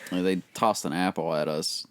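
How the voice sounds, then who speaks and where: A man's voice: low-pitched, fairly even intonation, moderate pace, background noise. A young man, co-hosting a casual podcast and telling stories animatedly, swearing freely. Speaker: podcast co-host. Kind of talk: casual chat.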